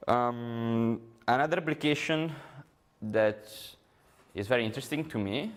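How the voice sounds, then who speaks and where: A man's voice: mid-range voice, a little slow. A young man with an Italian accent giving a technical conference talk, explaining at length with many ums and uhs. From Italy. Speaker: conference speaker.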